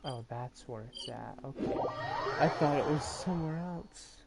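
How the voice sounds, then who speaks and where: A woman's voice: a bass voice, a little slow, vocal fry, very noisy. A woman giving casual, amused gameplay commentary in offhand slangy remarks. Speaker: gamer. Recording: loud game music behind the voice.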